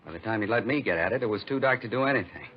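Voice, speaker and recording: An actor playing a homely, plain-spoken American police detective, the sidekick in a 1950s radio drama, folksy and conversational. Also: male voice, fairly low pitch, expressive, rapid-fire, lightly acted. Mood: grumbling, glum. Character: grumbling, homely married male police detective partner. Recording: dull 1950s radio recording, faint noise.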